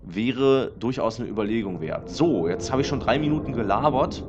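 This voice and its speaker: A man hosting a let's play in casual, rambling commentary with many filler sounds, his voice slightly hoarse from a cold. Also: mid-range voice, expressive, moderate pace. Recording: dull lo-fi mic with faint noise.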